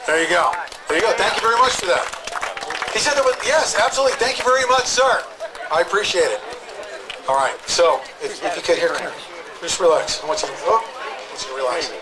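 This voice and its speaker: A man's voice: high-pitched, crowd noise, background noise. A man hosting an outdoor rally, joking and calling up speakers one after another. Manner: upbeat, joking, fast-talking. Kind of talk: live rally emcee chat. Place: outdoor protest crowd.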